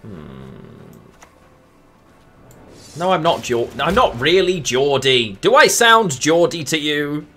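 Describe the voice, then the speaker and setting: English guy chatting to his stream while he plays cards, bit higher voice, bright and forceful, lots of mm-hmms. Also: sing-song, slow pace, pauses often. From England. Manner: thinks aloud, mutters fillers, answers chat. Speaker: streamer playing a card game. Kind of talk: casual live-stream chat while playing.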